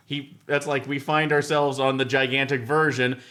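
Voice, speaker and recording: A man of ordinary, middle pitch speaks as a podcast panelist. He talks casually, explaining game material to the group. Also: flat delivery, normal speed, pushed and bright.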